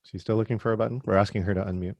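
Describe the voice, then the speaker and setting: A man's voice: on the low side, fairly even intonation, rapid-fire, darkish tone, no room sound, highs cut off. Man leading a video-call workshop. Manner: friendly, calls on attendees by name. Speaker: virtual meeting trainer. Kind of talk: hosting an online workshop.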